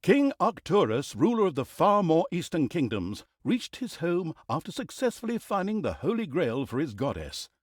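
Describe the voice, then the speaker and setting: Theatrical, wildly swooping sardonic game storyteller. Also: male voice, on the high side, big pitch swings, moderate pace, few pauses, breathy edge, dry recording, clean studio sound. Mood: mocking. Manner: dramatic, tongue-in-cheek, arch delivery. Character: sardonic, theatrical male storyteller, mock-grand, easily exasperated. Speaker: video game narrator. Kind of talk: scripted storybook narration of a comic fantasy game.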